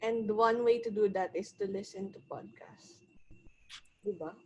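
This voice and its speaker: A nineteen-year-old woman from the Philippines, a podcast guest chatting casually in lively, teasing English and Filipino. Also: mid-range voice, unhurried, breathy edge, muffled recording.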